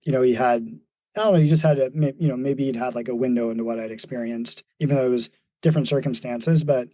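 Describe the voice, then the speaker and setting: Casting: man in his 40s, warm mellow tone, dry close sound, thoughtful and halting. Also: medium pitch, fairly even intonation, no echo, poor audio. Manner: thoughtful, many fillers and restarts, laughs easily. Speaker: podcast guest, mountain runner. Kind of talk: reflective casual conversation.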